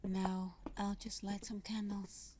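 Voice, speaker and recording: Slow, hushed vampire girlfriend, acted by a woman. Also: mid-range voice, little pitch movement, background noise, close to an everyday voice. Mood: soothing, welcoming. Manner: soft, breathy, half-whispered, unhurried. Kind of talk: intimate ASMR roleplay.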